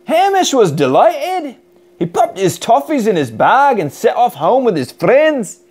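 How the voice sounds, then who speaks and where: A man with a Scottish accent narrating a children's picture book in an energetic, expressive way. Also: extremely high pitch, a little slow. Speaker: storybook narrator. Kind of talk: animated children's read-aloud.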